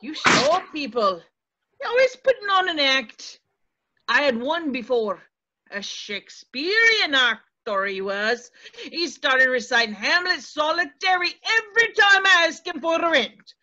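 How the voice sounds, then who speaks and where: A crotchety middle-aged landlady played by a woman from a stage play, high and piercing. She scolds in folksy, old-fashioned dialect, menacing and coy by turns. The sound is muffled, as over a video call. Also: very animated, a little slow, pushed and bright, full character voice. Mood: contemptuous. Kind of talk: stage play table read. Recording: dull video-call audio.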